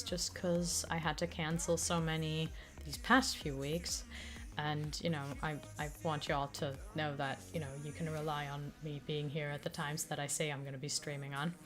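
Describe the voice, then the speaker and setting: A woman streamer chatting casually with her viewers, rambling with many fillers, her throat still slightly raspy from an illness. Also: sits low. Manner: rambling, full of fillers and restarts. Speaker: streamer and audio creator. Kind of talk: casual livestream chat.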